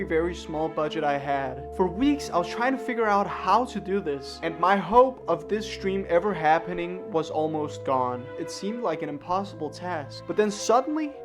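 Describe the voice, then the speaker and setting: A man with a slightly high voice talks through a vlog in a casual manner. He is self-deprecating as he narrates, with music faintly playing behind him. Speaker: streamer.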